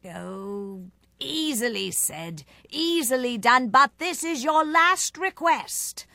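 A woman's voice: sits high, lively intonation, takes it slowly, creaky, sharp tone, no echo, full character voice. Lady doing a bossy storybook fairy king, grand n theatrical, impatient, really dry sound. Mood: grandly confident. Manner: grand, pompous, emphatic. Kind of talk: storybook character voice.

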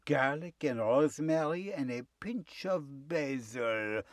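Sly hungry storybook wolf, played by a man: leering villain, French flavour, swooping slow drawl. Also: mid-range voice, expressive, a crowd in the background, pitched below the performer's own voice. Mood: wheedling. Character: sly hungry male wolf, menacing but wheedling villain.

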